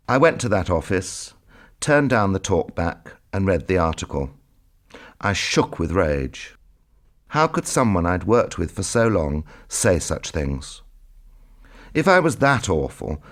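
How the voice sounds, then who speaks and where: A man, reading his own written recollections as a scripted narration. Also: deep voice. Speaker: memoir author and narrator.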